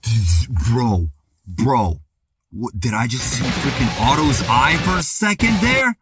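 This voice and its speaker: A man doing excited reaction commentary, clearing his throat as he recovers from being sick and saying dude and bro a lot. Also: medium pitch, slow pace, music in the background. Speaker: music reaction YouTuber.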